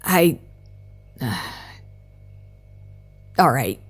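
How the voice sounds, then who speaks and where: Headstrong, exasperated woman scientist, unhurried, lively pitch, naturalistic audio drama delivery. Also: exaggerated voice. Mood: subdued. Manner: sarcastic, hesitant, exasperated. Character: sarcastic, headstrong, stressed woman researcher.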